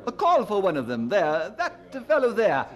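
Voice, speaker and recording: An actor playing an idle, mocking young Oxford gentleman with an affected, sneering manner in a radio drama. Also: sits high, huge pitch swings, moderate pace.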